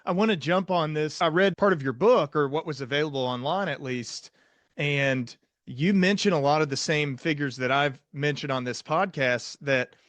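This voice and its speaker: An American man hosts a podcast interview in a conversational style. His voice sits on the high side for a man, and the sound is dry, with no room echo. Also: normal speed, fairly bright tone. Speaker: podcast host, psychiatrist.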